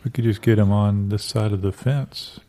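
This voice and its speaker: A man improvising a comedy sketch with a deadpan delivery. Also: on the low side, moderate pace.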